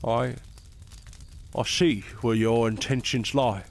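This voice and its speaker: A man's voice: medium pitch, steady tone, slow pace, vocal fry. A man voicing a loyal, aging royal advisor who speaks in a formal, courtly way in a fantasy audio drama. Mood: earnest. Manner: formal, courtly, gently doubting.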